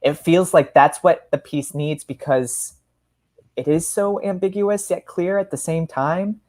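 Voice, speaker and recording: A woman hosting a casual literary discussion, speaking in a halting way and often repeating words. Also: low-pitched, steady tone, darkish tone. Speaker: podcast host.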